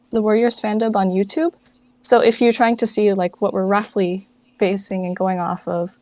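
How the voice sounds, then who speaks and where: A woman speaks as a guest in a casual interview, in an informal way full of "like". Her pitch is average for a woman and the sound is dry, without room echo. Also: a little mellow. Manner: informal, filler-heavy with frequent "like". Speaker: guest, fan project director.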